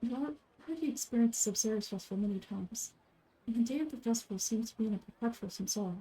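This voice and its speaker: Woman as low-key, mellow, pensive young traveler. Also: quick pace, a little hiss or hum, close to an everyday voice, rough recording. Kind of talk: video game character dubbing.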